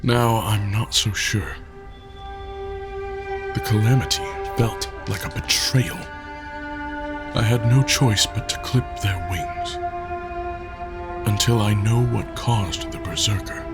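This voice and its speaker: An actor playing a brooding, guilt-ridden reclusive scientist in an audio drama, weary, introspective and terse. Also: male voice, lowish voice, slow pace, pauses often. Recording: loud music behind the voice.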